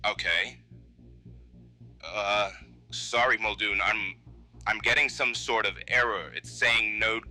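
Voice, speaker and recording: A man playing a pragmatic, harried computer technician in a horror film, explaining things in a measured, slightly defensive way. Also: on the low side, bright, forceful tone, soft background music, full character voice. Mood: puzzled, matter-of-fact. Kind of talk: found-footage horror film acting.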